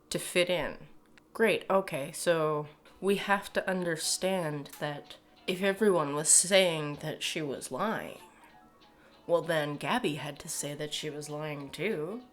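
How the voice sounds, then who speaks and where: A young woman with a Canadian accent talks casually to camera in a fairly low voice. She is emphatic and exasperated, with a sardonic edge, as she shares her opinions. Soft music plays behind her. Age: young adult. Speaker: video commentator. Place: small home room, indoors.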